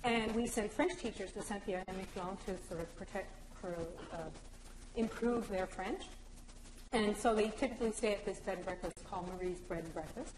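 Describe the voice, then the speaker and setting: A woman's voice: mid-range voice, fairly quick, background noise. A woman from Newfoundland giving a conference presentation, explaining ideas and slipping in anecdotes. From Newfoundland, Canada. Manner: explanatory, joking aside with anecdotes. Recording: echoey hall.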